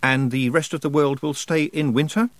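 A man's voice: a little high, steady tone, moderate pace, dry recording, voice pushed up, natural voice. A man voicing a clever, level-headed young witch girl who speaks carefully.

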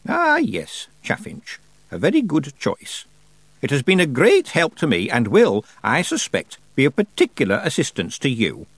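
A man's voice: a little high, wildly swooping pitch, husky, no echo, pitched above the performer's own voice, full character voice. Man voicing a stern ancient witch. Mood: brisk. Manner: slow, drawn-out, lofty delivery. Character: ancient stern female witch, sly and dry-humored.

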